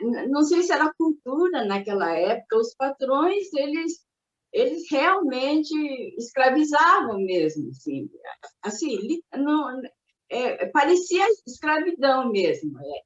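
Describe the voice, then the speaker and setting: An older woman born in Japan, speaking as an interviewee and recalling her family's past in a reflective, hesitant way with many restarts. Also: high voice. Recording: roomy, dull recording.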